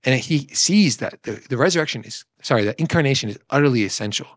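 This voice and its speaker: A man's voice: medium pitch, animated, fast, no echo. A man with a mid-range voice talks through theology in a conversational, teaching way. He explains point by point and keeps checking in with short tag questions. The sound is dry and close. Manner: explanatory, often adds "right?" as a check. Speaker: discussion co-presenter teaching theology.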